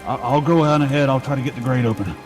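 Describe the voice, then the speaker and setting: A man with a medium-pitched voice speaks as a player in a tabletop game. He delivers roleplay dialogue with music and rain clearly behind him. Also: fast. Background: music and rain sounds.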